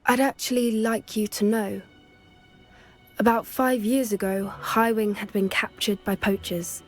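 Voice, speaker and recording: A gentle, earnest teenage witch with a British accent, acted by a woman for a fantasy game. She confides in a mid-pitched voice, kind and sincere, with music playing behind her. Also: steady tone, a little slow, close to an everyday voice. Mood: confiding, wistful. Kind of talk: video game cutscene acting.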